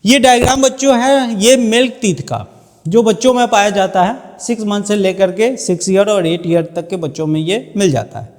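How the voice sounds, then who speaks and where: A man gives a school science lecture in a notably high voice. He explains didactically, slipping English terms into his speech and answering his own questions. The recording carries a long, hollow reverb. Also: expressive, moderate pace. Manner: didactic, asks questions and answers them himself. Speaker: biology teacher. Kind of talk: classroom lecture mixing Hindi with English terms.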